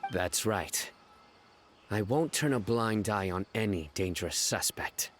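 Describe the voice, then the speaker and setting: A man voicing a stern young enforcer in a game, speaking in a curt, flat, authoritative way. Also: fairly low pitch, unhurried, faint music behind. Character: stern young male judge-enforcer, cold and relentless. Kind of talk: game voice acting.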